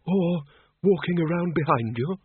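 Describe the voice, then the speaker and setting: A crafty old wizard performed by a man in a comic fantasy audiobook, high-voiced and husky. He coaxes like a conspirator, over a narrow phone-like sound. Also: expressive, normal speed, no room sound. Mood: sly. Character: Windle Poons, old wizard, sly and quick to coax. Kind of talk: audiobook character voice.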